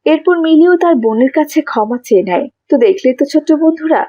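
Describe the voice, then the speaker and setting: High, soft-toned woman storyteller. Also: animated, fast, dry recording, highs cut off. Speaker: narrator. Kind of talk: scripted children's moral story narration.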